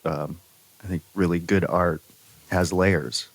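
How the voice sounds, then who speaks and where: A man co-hosting a podcast, chatting casually with halting, thoughtful phrasing. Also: low voice, fairly even intonation, vocal fry, dry recording. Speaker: podcast co-host. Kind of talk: casual chat.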